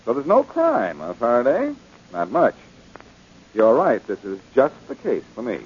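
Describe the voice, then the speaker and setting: An actor playing a cool, sardonic amateur sleuth who teases a police inspector in an old radio detective drama. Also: male voice, medium pitch, huge pitch swings, unhurried. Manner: sardonic, teasing. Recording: dull old radio recording with noise.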